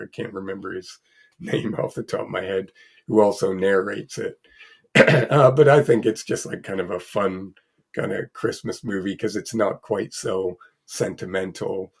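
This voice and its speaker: A man chats about films on a podcast he co-hosts. His voice is low and gravelly, with a husky, croaky edge from a cold. He rambles, restarting sentences and filling pauses. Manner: rambling, many fillers and restarts. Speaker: podcast co-host. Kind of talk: casual film podcast chat.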